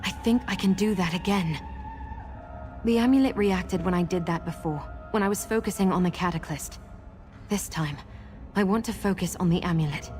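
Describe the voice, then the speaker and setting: An actress playing an earnest young woman in a video game who seeks her lost memories, speaking in a measured, sincere way. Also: fairly low pitch, darkish tone, soft background music, natural voice. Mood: determined. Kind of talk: video game character acting.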